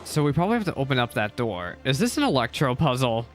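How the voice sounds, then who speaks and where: A young man commenting on his own gameplay for his viewers, excitable and quick to complain or cheer. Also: medium pitch, faint music behind. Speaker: gaming content creator. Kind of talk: live game reaction commentary.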